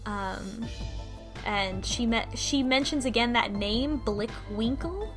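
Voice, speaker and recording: Higher-pitched woman recapping a story. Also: slow pace, music in the background. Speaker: podcast co-host recapping a story. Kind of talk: casual plot recap discussion.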